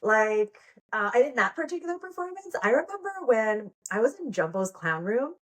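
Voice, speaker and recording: A woman's voice: a little high, big pitch swings, normal speed. Giggly American woman, casual and slangy. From United States. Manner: informal and slangy, giggly, peppered with "like". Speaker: podcast guest, pole dance instructor and performer. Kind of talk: casual conversational interview.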